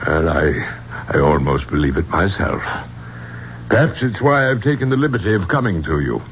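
An actor playing an obsessed, superstitious gentleman archaeologist in a 1970s radio drama, with a grandiloquent, courtly way of speaking. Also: sits low, very animated, normal speed. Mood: earnest. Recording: dull, noisy 1970s radio recording.

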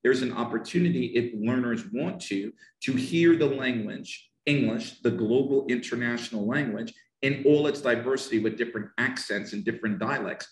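An American man with a Northern New Jersey accent talks about teaching English pronunciation, passionate and emphatic, often repeating phrases for stress. His voice sits at an ordinary pitch for a man. It carries a roomy echo around it. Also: normal speed, few pauses. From United States. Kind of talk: passionate podcast conversation.

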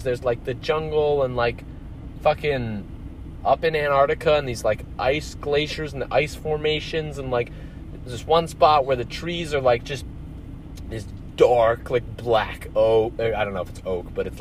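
A man's voice: mid-range voice, unhurried, slight background noise, low-quality recording. A man about twenty, co-hosting a casual podcast, rambling with lots of "like" and some swearing. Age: young adult. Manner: rambling, heavy on fillers and swearing. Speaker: podcast co-host. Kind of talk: casual chat.

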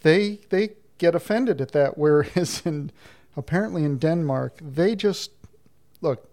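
A man's voice: fairly high pitch, measured pace, a little mellow. A man hosting an interview, asking questions in a friendly, chatty way. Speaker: interviewer host. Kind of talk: casual interview chat.

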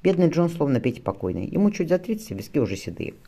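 A fretful, determined mother played by a male reader from a historical novel. She speaks briskly and affectionately, with quick pace and pitch that moves up and down. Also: very animated, gravelly, dark tone, full character voice. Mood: worried, musing.

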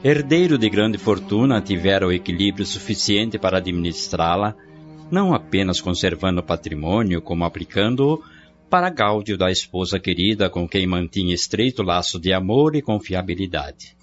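Deep male narrator, radio drama, formal delivery, slightly muffled. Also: lively intonation, soft background music. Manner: measured, formal.

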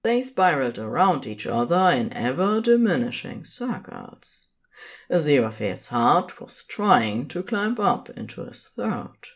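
Story narrator reading fiction, takes it slow, pitch moves around a lot. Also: big pitch swings.

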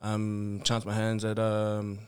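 A man's voice: lowish voice, completely flat delivery, slow, clear, resonant tone. A man from Melbourne with an Australian accent, chatting casually as an interview guest in a laid-back, hesitant, colloquial way. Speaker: podcast guest, rugby player.

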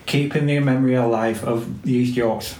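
Mid-pitched storytelling man in an echoey room. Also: steady tone, normal speed. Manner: warm storytelling, quoting others. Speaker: museum volunteer, postman. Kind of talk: casual interview answers.

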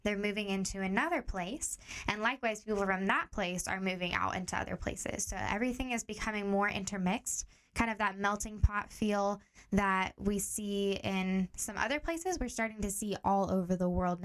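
Young woman from Tanzania, podcast guest, steady teacher-like explainer, mid pitch. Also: moderate pace. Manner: calm, steady explaining with clear examples. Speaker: guest, director of training.

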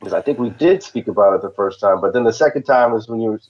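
A man's voice: lowish voice, fast, lo-fi sound. A man from the southern United States chatting casually as a podcast co-host. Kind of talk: casual chat.

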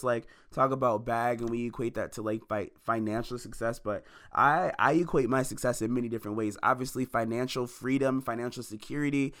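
A man's voice: mid-range voice, fairly bright tone, clean tone. A man in his twenties giving a preachy motivational monologue to listeners, repeating lines for emphasis. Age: 20s. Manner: addresses listeners as y'all and baby. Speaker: independent musician opening a podcast.

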